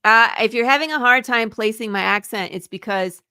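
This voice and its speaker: A woman's voice: a little high, fairly quick, bright, forceful tone, smooth, dry recording, clean studio sound. A woman from Michigan with a Midwestern accent, chatting eagerly as a guest and laughing often. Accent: Midwestern American. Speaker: comic creator guest. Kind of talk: casual interview chat.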